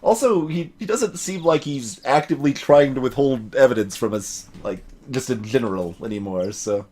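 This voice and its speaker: A man's voice: medium pitch, normal speed, a little mellow, breathy edge. A man hosting a voiced video game playthrough, giving casual, joking, sarcastic asides. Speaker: let's play host and voice actor.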